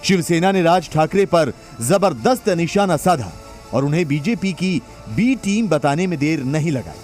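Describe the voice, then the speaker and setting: A man with a high voice narrates a scripted news report. His delivery is dramatic and emphatic, and faint music plays underneath. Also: expressive, moderate pace, a little mellow.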